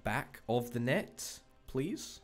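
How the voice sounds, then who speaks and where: A man's voice: mid-range voice, big pitch swings, drawn-out words, faint music behind. A man hosting a gaming video, chatting casually with wry, self-deprecating remarks. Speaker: gaming channel host. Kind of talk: casual let's-play commentary.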